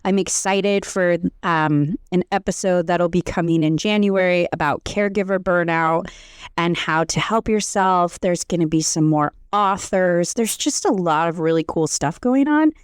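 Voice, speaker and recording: An American woman hosts a podcast in a loud, playful, joking way. Her voice sits a little low and the sound is dry and close. She talks casually with her co-hosts. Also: normal speed, smooth, no echo. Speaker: podcast host.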